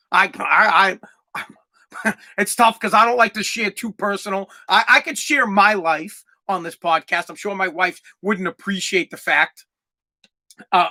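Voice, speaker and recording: A man in his forties hosting a comedic solo podcast, ranting in a profane, rambling way with lots of stammered restarts and bursts of shouting. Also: extremely high pitch. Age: 40s. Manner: exaggerated, swings into loud shouting. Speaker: podcast host.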